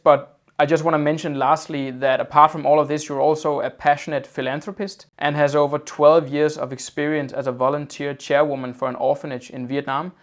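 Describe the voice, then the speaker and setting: Higher-pitched male podcast host on a video call, restarts his phrases, then runs on fluently. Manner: often repeats his opening words, then talks on fluently. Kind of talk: casual video-call interview.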